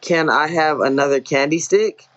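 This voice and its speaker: A man's voice: a little high, normal speed, muffled recording, lightly acted. A man voicing an innocent, shy, affectionate little boy in a read-aloud story, with childlike broken grammar. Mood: shy, hurt.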